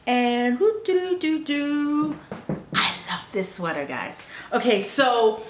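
A woman's voice: a little high, big pitch swings, takes it slowly, reverberant, slight background noise. A young woman hosting a knitting video podcast, chatting to the camera in an upbeat way, with many fillers and restarts, recorded at home. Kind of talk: casual chat to camera. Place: small indoor room at home.

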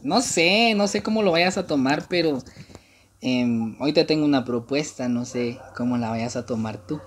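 A man, speaking haltingly and coyly on a casual prank phone call. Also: medium pitch, slight background noise. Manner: hesitant, halting, coy. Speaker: prank caller and channel host. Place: small room indoors.

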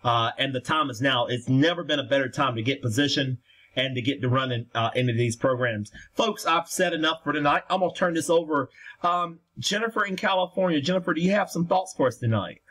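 A man's voice: mid-range voice, brisk, bright, forceful tone. A man hosting a conference call, giving an emphatic motivational marketing talk that addresses listeners directly and repeats itself.

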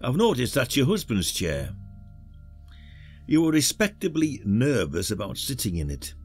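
A man's voice: medium pitch, wildly swooping pitch, moderate pace, a little mellow, breathy edge, faint music behind. A man acting as a wry, patronising retired detective in an audio drama, with a folksy, teasing way of talking. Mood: probing, suspicious.

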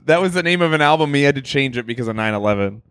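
A man's voice: mid-range voice, animated, rapid-fire, fairly bright tone, clear, resonant tone, no room sound. A man co-hosting a comedy podcast, rambling through stories in casual chat and laughing often. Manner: loose rambling storytelling, laughs a lot. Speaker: podcast co-host.

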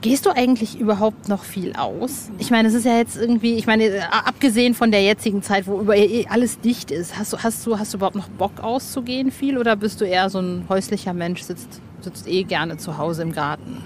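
A woman's voice: mid-range voice, a little fast, few pauses. A woman hosting an informal interview, chatting in a colloquial way and restating her points. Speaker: interviewer, host. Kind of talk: casual, informal interview chat. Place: outdoors on a roof terrace. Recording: clear outdoor city background noise.

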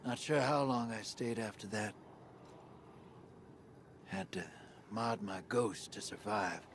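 A gravelly, weary space drifter. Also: male voice, on the low side. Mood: grim. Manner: slow, drawling, drops to a hushed rasp. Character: grizzled male space drifter, weary and wry. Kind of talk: video game cutscene acting. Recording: game audio with noisy ambience.

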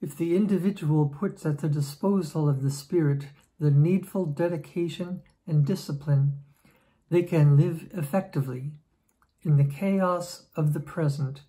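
A woman in her sixties reading aloud from a religious book in a measured, solemn way, in a room at home. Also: deep voice, mellow, rough recording. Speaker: lay reader.

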